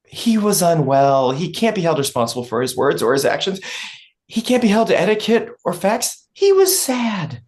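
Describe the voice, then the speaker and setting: A woman's voice: lowish voice, very animated, moderate pace, a little mellow. A woman playing a brash, scheming and defiant political operator in a stage comedy read. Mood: fervent, urging. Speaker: actor in a table read.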